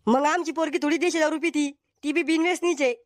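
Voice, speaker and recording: A woman's voice: sits high, fairly even intonation, fast talker, very noisy, natural voice. A woman voicing a blunt, quarrelsome village character in a comedy cartoon. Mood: argumentative. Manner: blunt, bickering.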